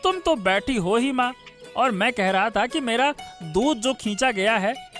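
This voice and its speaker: A man plays an exasperated milkman son in a cartoon moral tale. His voice is bright and forceful, insistent and nagging, over background music. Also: very high-pitched, expressive, measured pace, exaggerated voice. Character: hard-working, nagging grown son, a milkman. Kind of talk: Hindi cartoon moral-story dubbing.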